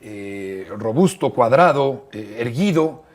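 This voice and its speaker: An older man with a Mexican accent speaks in a relaxed, measured way, neither high nor low in pitch. He tells his stories with frequent "eh" pauses and repeats phrases as he thinks. The effect is of an easygoing interview guest remembering the past. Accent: Mexican Spanish. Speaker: interview guest, broadcaster and bullfight writer. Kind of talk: relaxed conversational storytelling.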